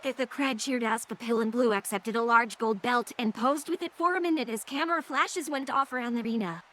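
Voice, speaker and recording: A woman's voice: a little high, fairly quick, fairly bright tone. Woman narrator over background noise. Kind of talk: scripted story narration. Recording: clearly noisy background.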